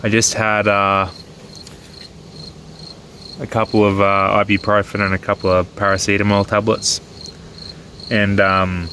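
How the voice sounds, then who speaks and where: An Australian man with a cold, sounding nasal and hoarse, chatting easygoing and rambling with ums as he films his camping trip outdoors at night. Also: on the low side, monotone, measured pace, long pauses, sharp tone. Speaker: camping vlogger. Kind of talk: casual vlog narration. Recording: outdoor night noise with crickets. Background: crickets and insects.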